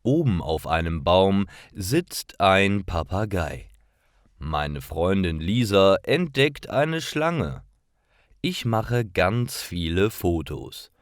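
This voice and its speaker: A man narrating simple scripted stories for language learners, reading carefully in clearly phrased short sentences. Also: sits low, sing-song, slow pace, no room sound, studio quality.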